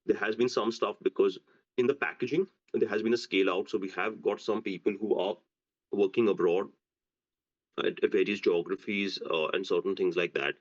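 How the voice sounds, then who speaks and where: Male executive, deep voice, halting unscripted answers full of restarts, muffled lo-fi conference line. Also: fairly even intonation. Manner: halting, often restarting phrases. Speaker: company executive answering on an earnings call. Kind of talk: unscripted business Q&A. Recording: dull, lo-fi conference call audio.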